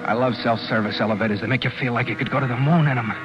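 A man's voice: mid-range voice, steady tone, lightly acted. Guy acting a furtive nervy crook telling his story, midrange voice, old muffled radio show with music behind. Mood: tense, furtive. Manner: confiding, measured first-person storytelling. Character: nervous, furtive man narrating his own crime. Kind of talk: 1940s radio thriller monologue.

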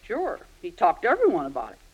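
A woman's voice: lowish voice, huge pitch swings, quick pace, husky, full character voice, low-quality recording. Blunt, feisty landlady. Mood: chatty, frank. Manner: brusque, colloquial, plain-spoken. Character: blunt, feisty Irish landlady, chatty but kind underneath. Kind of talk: 1940s-50s radio drama acting.

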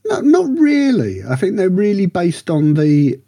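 Male podcast reviewer, husky and mellow, chatty, rambling delivery with keen interest. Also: high-pitched, wildly swooping pitch, unhurried, no echo, studio quality. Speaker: podcast co-host reviewing books and radio dramas. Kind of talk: casual review chat.

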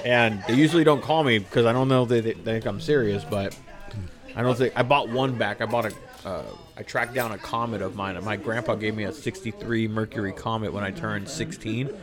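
A man's voice: on the low side, fairly even intonation, a little fast, over music. A man hosting a casual podcast chat, laughing and swearing freely at a family gathering. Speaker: podcast host. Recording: noisy room with crowd chatter.

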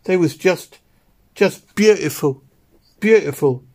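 Guy doing a poor young cockney lass from a storybook, high voice, chatty and easily delighted. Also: lively intonation, slow pace, a little mellow, heavily acted. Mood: wistful, delighted. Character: young working-class London Irish woman, homeless, chatty, easily delighted. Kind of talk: audiobook character dialogue.

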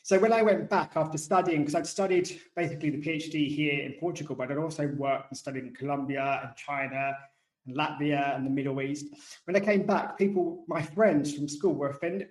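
A man in his thirties with a softened working-class British accent, chatting casually as a guest about his work, self-deprecating and given to digressions. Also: a little high, brisk. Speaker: guest author. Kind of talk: casual interview talk. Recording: echoey room.

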